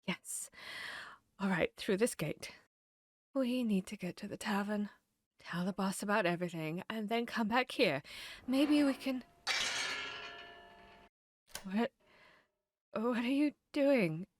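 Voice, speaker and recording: A woman's voice: medium pitch, slow, long pauses, a little hiss or hum, lightly acted. A woman playing a protective, caring vampire hunter in an intimate fantasy audio roleplay, speaking directly to the listener. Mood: soothing, urgent, then desperate, distraught. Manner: intimate, addressing the listener directly, shifting between hushed and urgent.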